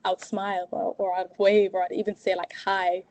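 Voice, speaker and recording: A woman's voice: medium pitch, level tone, normal speed, darkish tone, no room sound, lo-fi sound. Young woman student chatting, filler-heavy, mid voice, dead dry sound. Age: young adult. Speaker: study abroad student. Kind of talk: casual conversation.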